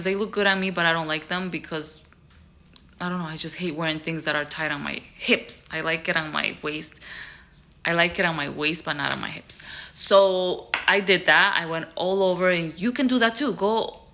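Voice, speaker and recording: Young woman vlogger from Ecuador, low but bright voice, chatty and earnest, full of fillers. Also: normal speed. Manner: rambling, many fillers, earnest advice. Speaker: YouTube creator. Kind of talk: casual vlog talk to camera.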